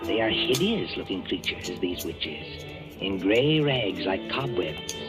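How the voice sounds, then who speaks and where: A man hosting and narrating a 1940s radio drama in an ominous, measured, theatrical manner. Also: low voice, big pitch swings. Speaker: host and narrator. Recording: vintage radio recording with loud music. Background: loud music.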